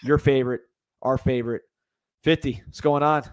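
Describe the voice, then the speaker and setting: A man's voice: mid-range voice, fairly even intonation, moderate pace. Chatty male sports podcast host. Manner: loose, repeats words while thinking. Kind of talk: casual sports chat.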